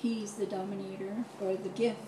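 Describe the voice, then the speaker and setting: An older woman from Hawaii speaking reflectively at an audience mic, in halting, deliberate and solemn phrases. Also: medium pitch, little pitch movement. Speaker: audience member asking a question. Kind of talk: reflective personal speech at an open mic. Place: large echoey room, event audience mic. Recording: echoey, distant mic, noisy room.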